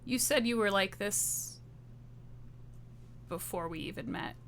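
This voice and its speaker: Bright, bitter betrayed wife played by a woman. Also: mid-range voice, slow, creaky, a little hiss or hum. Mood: wounded.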